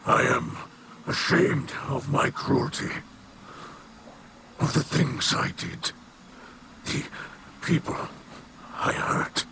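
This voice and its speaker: Grave, slow alien warrior leader. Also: male voice, mid-range voice. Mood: remorseful. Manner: solemn, halting, formal speech. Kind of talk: video game dialogue acting. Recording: noisy background ambience.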